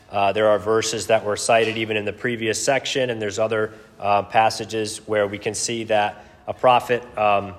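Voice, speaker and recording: A man gives an informal sermon in a low, booming voice. He talks conversationally and modestly, pausing and slipping in casual asides as he explains a passage. The sound carries long reverb, as in a large meeting hall. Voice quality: deep, booming voice. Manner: conversational, self-deprecating, hesitant pauses with casual asides. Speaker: preacher teaching a Bible study.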